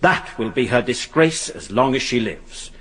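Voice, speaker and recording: An actor playing a grave defence barrister addressing a jury in a radio drama, with solemn, emphatic rhetoric. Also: male voice, mid-range voice, sing-song, unhurried, a bit bright. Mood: solemn, impassioned. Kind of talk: courtroom oratory in radio drama.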